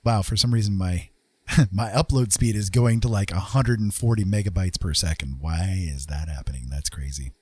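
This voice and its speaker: Low-voiced man chatting on a livestream. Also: normal speed, a little mellow, breathy edge, dry recording. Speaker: live streamer hosting a chat stream. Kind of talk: casual unscripted livestream chat.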